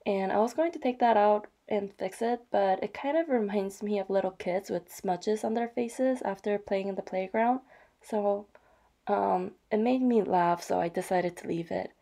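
A woman talks through her craft projects in a soft, chatty voice-over with fillers and small apologies. Her voice is mellow and dark, with a gravelly edge. She sounds slightly hoarse from a cold. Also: medium pitch, normal speed. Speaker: vlogger. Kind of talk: casual show-and-tell narration.

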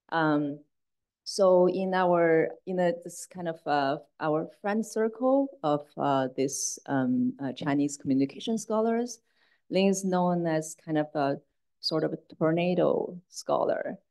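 A woman moderating an academic talk informally, with halting, hesitant phrasing. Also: sits low, a little mellow. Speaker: moderator, assistant professor. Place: university lecture room.

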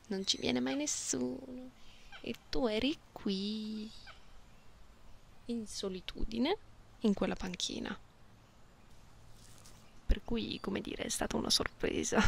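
A woman voicing a playful, caring young catgirl in an intimate, soft ASMR roleplay. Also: medium pitch, vocal fry. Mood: gentle concern. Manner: soft, unhurried, intimate, sometimes whispery. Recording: binaural close mic, audible background noise.

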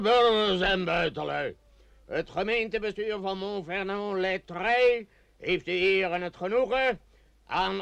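High, creaky village town crier. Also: male voice, a bit bright, dry recording, exaggerated voice. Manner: declamatory, ceremonious announcing. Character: village town crier proclaiming pompously to all townsfolk. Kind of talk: Dutch radio play acting.

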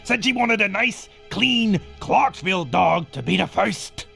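A man playing a gruff henchman in a video game cutscene. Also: high-pitched, animated, unhurried, pushed and bright, soft background music, heavily acted. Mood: gossipy.

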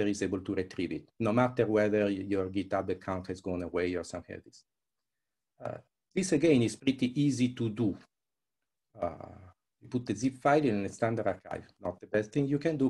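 Male academic presenter. Soft-edged, slightly raspy tone, explains conversationally on a video call. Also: on the low side. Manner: conversational, explanatory, with rhetorical questions. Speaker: presenter, researcher.

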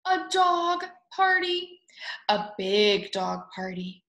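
A woman in her twenties reading a picture book aloud to young children in an expressive way, with drawn-out words. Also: medium pitch. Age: 20s. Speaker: storybook reader. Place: small room at home, indoors. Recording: echoey room.

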